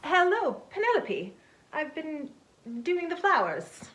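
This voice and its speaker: A woman's voice: high voice, sing-song, slow, a bit bright, a little hiss or hum, heavily acted. A woman playing a prim, nice, slightly flustered genteel lady in a dramatized novel reading, polite and hesitant.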